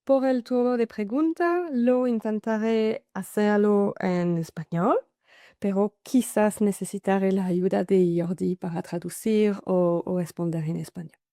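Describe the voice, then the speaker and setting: A woman with a French accent gives a masterclass in Spanish over a video call, which is not her first language. Her delivery is slow and halting, often filled with "so" and "am". Her voice is mellow and the sound is dry and close. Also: medium pitch, steady tone, no echo. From Paris, France. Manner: hesitant, with many "am" and "so" fillers. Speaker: guest speaker, consultant. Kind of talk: online masterclass presentation.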